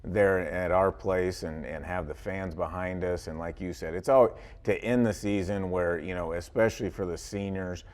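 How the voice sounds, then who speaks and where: An American man with a deep, low-pitched voice speaks as a football coach in an interview. His delivery is conversational and folksy, and he often circles back to repeat a phrase. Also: steady tone, moderate pace, few pauses, a little mellow.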